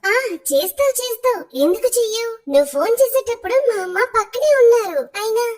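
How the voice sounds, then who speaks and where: A woman's voice: extremely high pitch, expressive, fast, a little mellow. A woman voicing a young college girl in love, insistent with those close to her, in a cartoon story. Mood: nagging. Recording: music behind the voice. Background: music.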